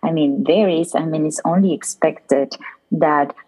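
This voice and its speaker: A woman's voice: low-pitched, fairly even intonation, unhurried, mellow, rough recording. A woman from Peru with a Peruvian Spanish accent, explaining things conversationally and discursively as an interview guest, often checking in with the listener. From Lima, Peru. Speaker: professor, podcast guest.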